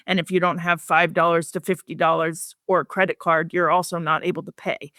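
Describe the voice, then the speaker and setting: A woman with a clean, smooth voice at a middling pitch, recorded dry. She explains things plainly and articulately, like a researcher answering interview questions. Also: no echo. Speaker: expert guest, professor and researcher.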